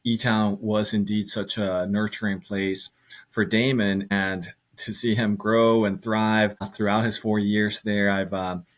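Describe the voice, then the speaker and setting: A man's voice: lowish voice, flat delivery, unhurried. A middle-aged man from Costa Rica answering reflectively as an interview guest, earnest and often repeating words as he thinks aloud. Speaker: podcast guest, engineering professor.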